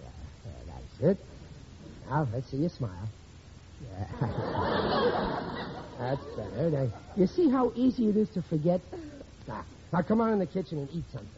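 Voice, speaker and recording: An actor playing a soft-hearted, flustered working-class American married man in an old radio comedy, coaxing and pleading with stammers. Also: on the high side, sing-song, moderate pace, long pauses. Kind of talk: 1940s-50s radio comedy acting. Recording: dull, noisy old radio recording with audience.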